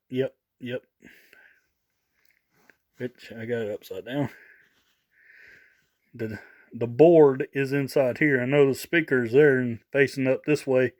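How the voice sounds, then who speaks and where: A man casually showing off gear for a vlog, rambling and halting as he repeats himself. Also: mid-range voice, a little mellow. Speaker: vlogger showing radio gear. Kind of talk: casual product showcase.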